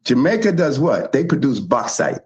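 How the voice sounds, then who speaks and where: A man from the South Bronx, telling history in animated, emphatic casual chat as a show host. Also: medium pitch, moderate pace, highs cut off. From South Bronx, New York.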